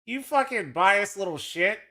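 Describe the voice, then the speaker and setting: A man's voice: high voice, expressive, measured pace, sharp tone. Profane, teasing male streamer. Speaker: streamer co-streaming an esports match. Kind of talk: casual livestream chat.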